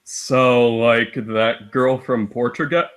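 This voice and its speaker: A man's voice: mid-range voice, steady tone, drawn-out words, fairly bright tone. A man chatting casually as a guest co-commentator, reacting with short remarks. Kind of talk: casual chat.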